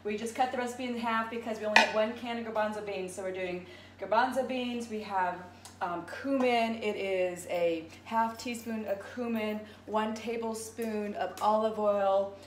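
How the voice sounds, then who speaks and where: A woman's voice: mid-range voice, fairly even intonation, moderate pace. Cheerful woman teaching a kitchen recipe. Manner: cheerful, instructive, chatty with a child helper. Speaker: dietitian hosting a kids' cooking segment. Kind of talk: upbeat cooking demonstration. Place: large home kitchen. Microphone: camera across the room. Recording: echoey kitchen, distant mic, background noise.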